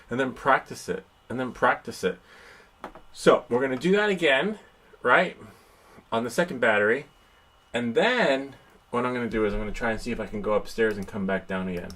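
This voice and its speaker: A man's voice: mid-range voice, vocal fry, a bit bright. A man giving casual, rambling live commentary as host of an indoor hobby how-to vlog, joking playfully at his own expense and muttering to himself. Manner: playful, self-deprecating, joking. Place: indoors in a house. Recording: constant background noise in room.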